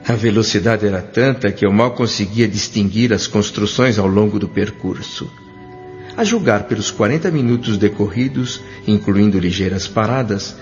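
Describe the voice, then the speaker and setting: A man with a Brazilian accent playing a thoughtful doctor who narrates his memories in an audio drama, in a measured, literary way. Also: on the low side, creaky, darkish tone. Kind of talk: scripted first-person audio drama narration. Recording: dull lo-fi audio with music underneath.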